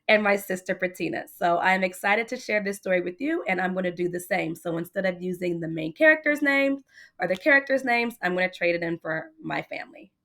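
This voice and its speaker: A woman reading a picture book aloud like a teacher, in animated storytelling style, speaking directly to young listeners. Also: mid-range voice. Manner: addresses child listeners directly, with questions.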